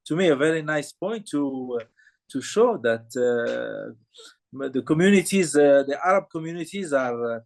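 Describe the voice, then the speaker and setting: A man from Morocco interviewing filmmakers, speaking haltingly with many fillers and restarts. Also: on the high side, animated, vocal fry, a little mellow.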